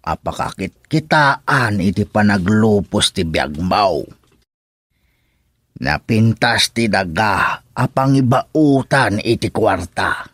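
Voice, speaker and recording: A kindly older village man in a radio drama, fatherly and measured. His Ilocano-accented voice is low and husky, and he speaks slowly. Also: lively intonation, a bit bright, no echo, exaggerated voice. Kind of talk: Filipino radio drama acting.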